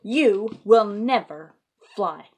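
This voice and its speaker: A woman acts out a doubting storybook elephant, a blunt fellow who contradicts firmly. Words are stretched out slowly and emphatically, with big swings in pitch. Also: lively intonation, slight background noise. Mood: insistent.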